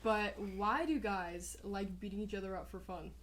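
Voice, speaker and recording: A woman's voice: medium pitch, moderate pace, background noise. Giggly teen girl podcast host. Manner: chatty, giggly, lots of "like". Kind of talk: casual chat.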